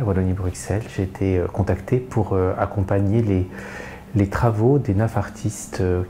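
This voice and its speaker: A man's voice: sits low, dark tone, slight background noise. A man who mentors artists, speaking reflectively in an interview with frequent hesitations.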